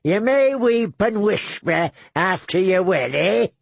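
A man voicing a sly, crude, cackling old male lord with a gravelly voice who tags phrases with "eh?". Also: sits high, expressive, slow pace, slight background noise, voice pushed up, heavily acted. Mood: bossy. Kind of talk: audiobook character voice.

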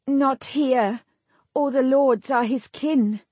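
A woman's voice: high-pitched, slow pace, mellow, breathy edge, dry recording, pitched above the performer's own voice, heavily acted. A woman voicing a shaken, bitter young woman in an audiobook, raw with emotion. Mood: fearful. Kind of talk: audiobook character acting.

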